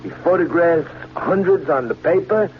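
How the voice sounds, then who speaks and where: An actor playing a slow-witted, eager small-time American crook in a radio drama, talking in slangy, repetitive bursts. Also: male voice, on the high side, lightly acted. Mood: scheming. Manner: plain slangy speech, hesitant repeats. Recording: muffled, noisy vintage radio with hum.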